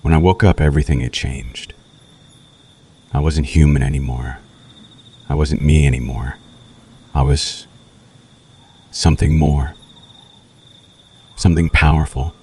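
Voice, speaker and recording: A man playing a seductive, menacing vampire in an ASMR roleplay, speaking slowly and intimately with a possessive, darkly romantic air. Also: fairly even intonation. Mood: brooding, haunted. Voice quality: very deep, husky, breathy. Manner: slow, intimate, softly menacing, teasing.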